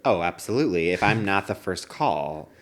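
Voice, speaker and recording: A man's voice: sits low, expressive, normal speed. A man co-hosting a comedy podcast in casual chat, speaking in an emphatic, mock-dramatic way. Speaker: podcast co-host.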